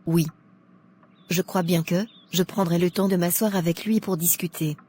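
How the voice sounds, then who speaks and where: A woman playing a gentle young fiancée. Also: lowish voice, fairly even intonation, normal speed, breathy edge.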